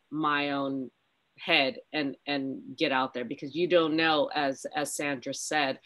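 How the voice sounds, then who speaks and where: A middle-aged woman on a video call, giving relaxed, conversational advice. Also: deep voice. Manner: relaxed, conversational, many fillers. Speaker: business owner, panelist. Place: home room, indoors.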